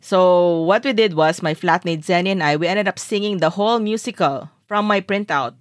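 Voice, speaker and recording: A woman's voice: fairly low pitch, normal speed. A woman in her thirties from the Philippines, chatting casually as a co-host and laughing often. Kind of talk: casual chat.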